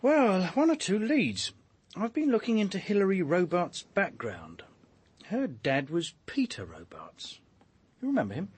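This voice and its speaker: An actor playing a chatty, wry police detective in a naturalistic radio drama, colloquial and a little hesitant. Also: male voice, sits high, wildly swooping pitch, a little slow, slightly rough, full character voice. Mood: wry, musing.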